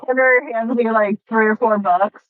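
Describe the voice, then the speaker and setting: A woman's voice: fairly high pitch, steady tone, normal speed, dry recording. A woman playing in a tabletop game, chatting casually in character over thin, muffled call audio. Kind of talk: casual in-character roleplay chat. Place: inside a car, on a remote game call.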